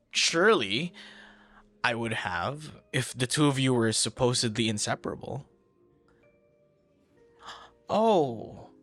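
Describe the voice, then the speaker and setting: Animated, gravelly young man, easily flustered, acted. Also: medium pitch, exaggerated voice. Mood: sympathetic, reassuring. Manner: hesitant, halting, soft-spoken asides, repeats words. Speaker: audio roleplay performer. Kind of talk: ASMR boyfriend roleplay, conversational.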